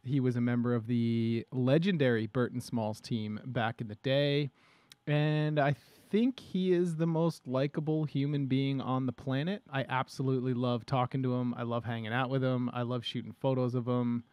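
A man in his thirties hosting a casual podcast at a desk mic, animated and enthusiastic, with casual swearing. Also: mid-range voice, moderate pace, smooth, no room sound. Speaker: podcast host. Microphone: studio microphone at a desk.

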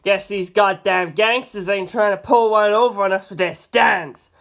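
Audiobook creature role: blustering gruff male cop spirit acted by the narrator, loud, slangy, brash. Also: medium pitch, normal speed, pitched above the performer's own voice. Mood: scornful.

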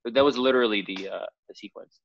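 A man's voice: mid-range voice, quick pace, vocal fry, lo-fi sound. A man of about thirty, a startup co-founder answering interview questions hesitantly with many fillers. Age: 30s.

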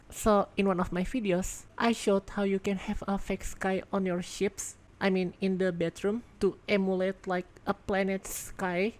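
A woman giving casual gameplay commentary as a reviewer, excitable and thinking out loud, congested and losing her voice. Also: medium pitch. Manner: excitable, exclaims in surprise, thinks aloud. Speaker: game video reviewer.

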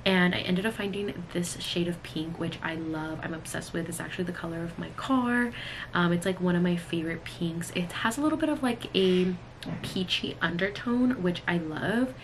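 A woman's voice: lowish voice, moderate pace, low-quality recording. A woman in her thirties talking casually to the camera as a vlogger, chatty and addressing her viewers directly. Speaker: vlogger and cosmetics brand owner. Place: small indoor room at work. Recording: clear room noise, camera audio.

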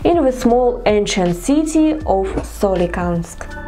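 A young woman with a Russian accent reads her vlog narration in English slowly and deliberately. Her voice sits fairly high and mellow, and it moves up and down a lot in pitch. Faint music plays under her. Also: lively intonation. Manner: careful, deliberate non-native English. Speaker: vlogger. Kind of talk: scripted narration to camera.

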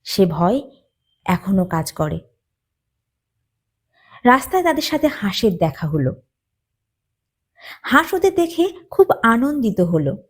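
A woman narrating a children's moral story as a storyteller, with deliberate, dramatic phrasing. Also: medium pitch, sing-song.

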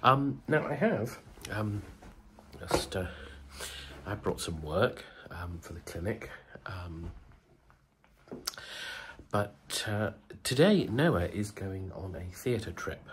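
Bunged-up man, low and hushed, chatty vlog update from a quiet hotel room, faint hiss behind. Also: animated, slow pace, long pauses. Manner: speaks softly, hushed, many ums. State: bunged up with a cold. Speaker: vlogger.

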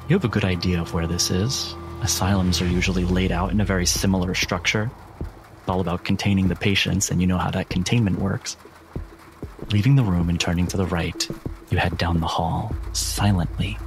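A man narrating a tabletop roleplaying game as the game master. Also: low-pitched. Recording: music bed under the voice. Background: background music.

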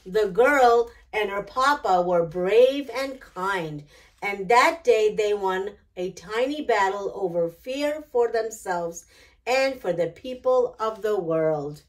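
A middle-aged woman hosting storytime, reading a picture book aloud in an expressive, deliberate way. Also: mid-range voice. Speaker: children's storytime host. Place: small decorated indoor storytime set.

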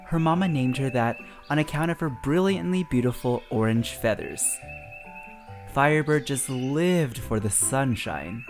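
A narrator reading a children's storybook aloud in an expressive, dramatic and deliberate storytelling style. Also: medium pitch. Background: music.

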